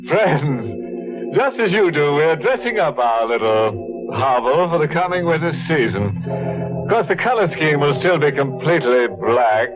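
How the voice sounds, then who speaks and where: A man's voice: a little high, sing-song, unhurried. A man playing a macabre, sardonic horror host in a 1940s radio drama, speaking with a sing-song, chuckling delivery. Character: ghoulish male horror host, mocking, macabre wit. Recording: tinny old radio broadcast with music.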